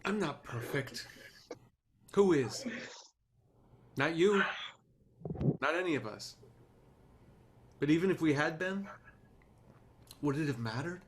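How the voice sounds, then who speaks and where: A man playing a dejected, stubborn TV showrunner in a screenplay table read, speaking in a halting, conversational way. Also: fairly high pitch, animated. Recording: noisy online call audio.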